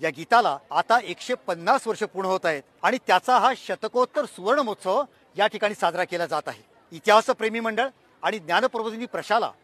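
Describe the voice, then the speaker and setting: High-voiced man, dry close sound. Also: fairly quick, a bit bright, no echo. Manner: formal, explanatory. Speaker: head of a history society. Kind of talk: interview statement.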